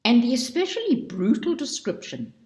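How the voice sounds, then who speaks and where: A woman reading a book aloud in a slow, deliberate and expressive way. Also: fairly high pitch. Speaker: reader. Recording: roomy echo.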